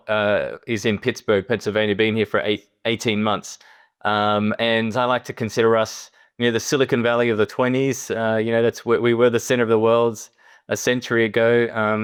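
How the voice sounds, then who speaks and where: A middle-aged man with an Australian accent, speaking conversationally as a podcast guest, haltingly, often repeating words and restarting. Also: lowish voice, clean tone. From Perth, Western Australia. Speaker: interview guest, surgeon.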